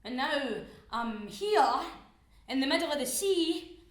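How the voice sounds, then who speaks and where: A woman's voice: sits high, expressive, a little slow, roomy sound. An actress playing a panicked woman who is drowning and cannot swim, pleading breathlessly with nervous laughs. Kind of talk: dramatic monologue acting.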